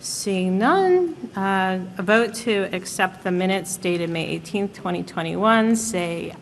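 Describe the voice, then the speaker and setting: A woman chairs the board meeting, moving through motions and votes in a brisk, procedural way. Her mid-pitched voice rings with hall reverb over a faint hiss. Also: animated, creaky. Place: town meeting room.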